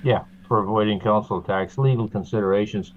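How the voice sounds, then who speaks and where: A man's voice: lowish voice, brisk, a little hiss or hum. Man on a tinny remote call line. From Canada. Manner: steady, explaining, many uh fillers. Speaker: interview guest. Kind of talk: explanatory interview talk.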